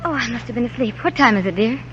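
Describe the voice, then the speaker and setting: Woman playing devoted young wife, higher voice. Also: quick pace, a bit bright, natural voice. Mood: tender, concerned. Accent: American. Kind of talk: 1940s radio acting. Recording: muffled, faintly noisy 1940s radio recording.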